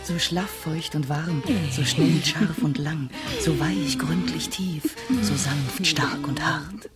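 A woman's voice: deep voice, slow pace. An actress playing a suave, coaxing woman in an experimental radio play, with polished delivery. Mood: coaxing, sensual. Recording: voice over loud music, guitar.

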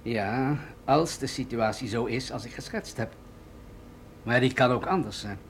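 An actor in a radio drama playing an authoritative military general, grave and deliberate as he explains things. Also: mid-range voice, a little hiss or hum, lightly acted. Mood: earnest, persuasive.

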